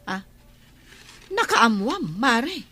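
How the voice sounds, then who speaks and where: A woman acts out a grasping, complaining Ilocano wife in a radio serial. Her voice is high and piercingly bright. She whines and argues for more. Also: very animated, slow pace, husky, soft background music, heavily acted. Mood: curious, disgruntled. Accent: Filipino, Ilocano. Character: greedy, complaining married daughter-in-law demanding a bigger share. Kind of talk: radio drama acting.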